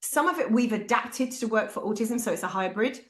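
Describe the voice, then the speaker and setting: A woman with a British accent, a parent giving candid, animated advice to other parents. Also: a little high, brisk. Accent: British English. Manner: animated, acts out quoted voices. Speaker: parent speaker. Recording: echoey room.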